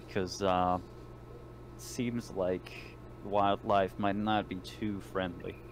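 Commanding man with a deep voice. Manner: commanding, clipped orders. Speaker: player acting as squad lieutenant. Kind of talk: in-character tabletop roleplay. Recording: noisy online voice chat recording.

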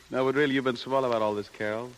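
A man's voice: mid-range voice, steady tone, fast, close to an everyday voice. An actor playing a breezy, stubborn rich young man, bantering in the style of 1930s radio drama. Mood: warming, playful. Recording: faintly noisy 1930s radio broadcast.

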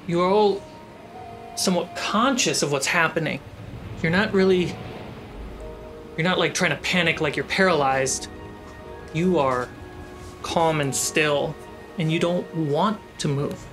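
A man acting as game master, narrating a tabletop fantasy game in suspenseful phrases broken by pauses. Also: on the high side, over music.

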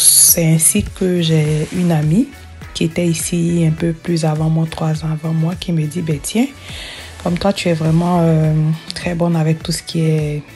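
A middle-aged woman from Africa narrates her own story in a chatty voice-over. Her voice sits low and mellow. She often repeats a word as she restarts a sentence. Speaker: hair braiding vlogger.

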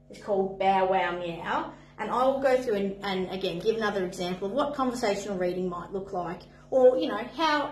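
A woman's voice: mid-range voice, slight background noise. A woman, a playgroup facilitator chatting to camera in an encouraging way with frequent ums. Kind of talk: online playgroup lesson, talking to camera. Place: indoor play room. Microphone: camera at a few metres. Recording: echoey room.

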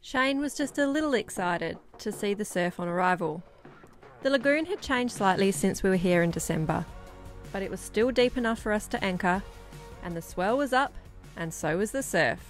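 A woman's voice: mid-range voice, animated, normal speed, over music. Woman, matter-of-fact sailing vlogger. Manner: plain, matter-of-fact storytelling with light laughs. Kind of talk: scripted voice-over narration and casual vlog talk. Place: outdoors on a beach. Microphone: camera held at arm's length.

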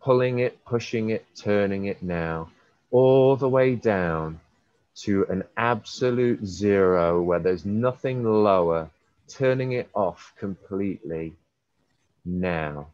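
A man leading a guided hypnosis session as a hypnotherapist, using repetitive, drawn-out and emphatic suggestive phrasing. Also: on the low side, a little mellow. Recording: roomy, slightly dull recording.

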